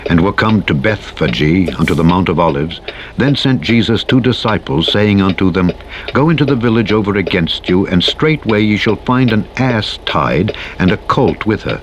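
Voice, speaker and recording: Low voiced guy reading the bible real solemn and measured, truck engine noise going behind him. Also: expressive. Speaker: Bible narrator. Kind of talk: scripture reading. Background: truck engine noise from a driving game.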